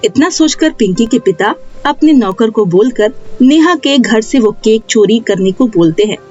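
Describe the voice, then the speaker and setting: A woman's voice: a little high, expressive, moderate pace, darkish tone, dull audio, faint music behind. A woman narrating a scripted children's story as the storyteller.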